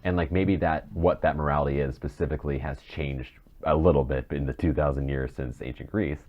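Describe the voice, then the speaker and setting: A man's voice: a bass voice, steady tone, a little fast, dull audio. A man co-hosting a podcast, thinking aloud tentatively in casual discussion. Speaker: podcast co-host.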